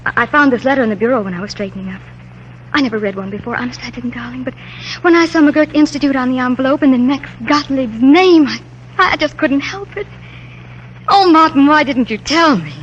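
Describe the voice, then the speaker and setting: Woman playing devoted young wife, higher voice. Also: brisk, lightly acted. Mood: gently insistent. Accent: American. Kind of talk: 1940s radio acting. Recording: muffled, faintly noisy 1940s radio recording.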